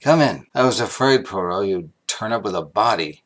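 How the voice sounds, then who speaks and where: A man's voice: on the low side, animated, moderate pace, a bit bright. A man playing a plain-spoken, practical police inspector in a mystery drama, matter-of-fact and informal. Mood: relieved, frank. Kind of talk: radio mystery play acting.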